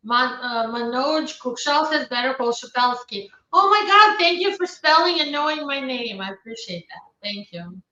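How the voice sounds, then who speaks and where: A woman attorney, originally from Ukraine, hosting a live stream and reading viewers' questions aloud. She answers each one briskly and matter-of-factly, with frequent fillers. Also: high voice, expressive, bright, forceful tone, slight background noise. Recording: roomy, distant, dull live-stream audio.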